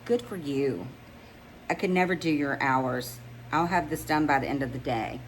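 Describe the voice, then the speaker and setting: A woman's voice: deep voice, moderate pace, close to an everyday voice, poor audio. A woman voicing a composed, friendly professional heroine in a romance audiobook, casual and polite. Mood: businesslike. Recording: noticeable background noise.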